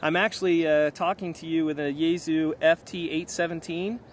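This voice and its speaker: A man in a casual hands-on demonstration, talking in an unhurried, explanatory way as an amateur radio operator outdoors. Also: a little high, flat delivery. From United States. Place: outdoors on a mountainside. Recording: noisy outdoor camera recording. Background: outdoor ambient noise.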